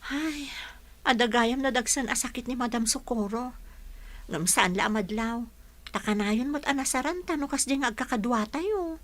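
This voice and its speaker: A woman's voice: fairly high pitch, moderate pace, close to an everyday voice. A woman acting a sympathetic young student teacher chatting with her friend in an Ilocano radio drama, with an Ilocano accent.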